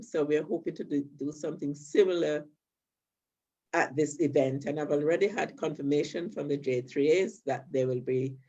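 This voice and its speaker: A woman's voice: low voice, warm tone. Older Jamaican woman, muffled call audio. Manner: upbeat, chatty, with frequent fillers. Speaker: community event speaker. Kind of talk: closing remarks at an online community event.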